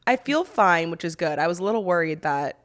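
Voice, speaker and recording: A woman's voice: lowish voice, expressive, fairly quick. A woman with a touch of sniffles, co-hosting a podcast with giggly, teasing banter. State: stuffy with sniffles. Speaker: podcast co-host. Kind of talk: casual chat.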